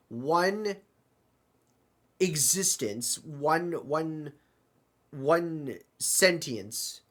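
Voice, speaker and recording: A man playing a flustered, apologetic multiverse traveler stranded in a void, halting and repeating words in a loose improvised audio roleplay. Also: fairly high pitch, fairly bright tone, heavily acted. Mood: puzzled. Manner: halting, stammering, repeats words, thinks aloud. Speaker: roleplay performer.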